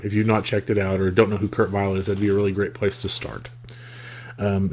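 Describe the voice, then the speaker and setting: A man hosting a podcast, talking casually and thoughtfully with a rough, congested, scratchy voice from a cold. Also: fairly low pitch, a little mellow. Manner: reflective, thoughtful, with frequent fillers. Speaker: podcast host. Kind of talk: casual solo podcast talk.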